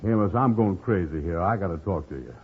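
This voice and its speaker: An actor playing a slow-witted, lovelorn man with a hesitant, muddled way of talking in an old radio comedy. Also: low-pitched, lively intonation, breathy edge. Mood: troubled, mournful. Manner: dim, hesitant, malapropisms. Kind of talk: 1950s radio comedy acting. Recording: noisy, phone-like old radio recording.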